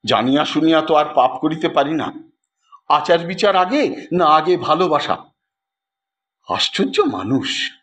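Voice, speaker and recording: A man narrating a literary story in an expressive, theatrical manner, as the host of an audio story series. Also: fairly high pitch, unhurried, pauses often. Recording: dull lo-fi track with faint noise.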